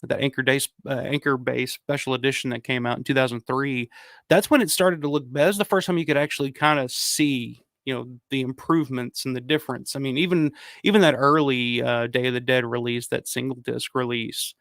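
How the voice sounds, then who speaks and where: A man hosting a casual livestream, hoarse from a cold, rambling and swearing as he reads viewer comments. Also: mid-range voice, steady tone, fairly quick, a bit bright, no room sound. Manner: rambling, profane, frequent restarts. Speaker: livestream host.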